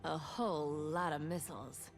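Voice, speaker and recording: A woman's voice: sits low, fairly even intonation, moderate pace, music in the background. A woman voicing a swaggering, vengeful bounty hunter in a video game, cocky, sardonic and flirtatious.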